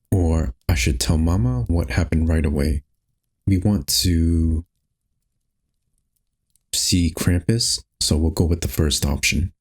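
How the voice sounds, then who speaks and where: A man's voice: low-pitched, husky. A man narrating a visual novel game aloud in a scripted storytelling style. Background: faint music.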